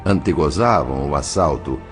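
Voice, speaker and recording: A Brazilian man playing a composed spirit narrator in an audio novel, speaking in a formal, articulate, measured way. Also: very deep voice, lively intonation. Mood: grave, composed. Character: composed male spirit narrator recounting his undercover mission. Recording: music behind the voice. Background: music.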